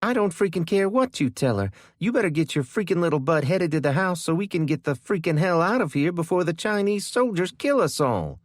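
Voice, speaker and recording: A man's voice: fairly high pitch, big pitch swings, brisk, hardly any pauses, dry recording, studio quality, pitched above the performer's own voice. A man doing a furious bossy big sister. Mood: yelling angrily. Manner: yelling, scolding. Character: furious bossy older sister, young girl.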